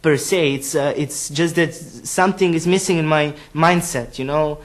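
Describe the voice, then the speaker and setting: A man's voice: on the high side, normal speed. A man from Eastern Europe, a student, speaking frankly and bluntly in a confessional interview. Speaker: student, reality show contestant.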